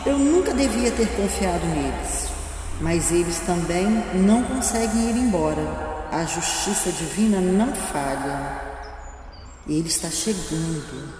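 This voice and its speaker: A woman playing the ghost of an older wronged woman in an audio drama, haughty, measured and cryptic. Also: mid-range voice, mellow, lightly acted. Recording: very echoey reverb, noisy ambience.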